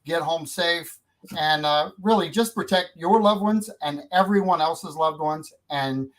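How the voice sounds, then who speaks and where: A male radio host with a voice pitched a little above the usual for men. He talks informally, as in a live interview, and puts his questions directly. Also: unhurried, poor audio.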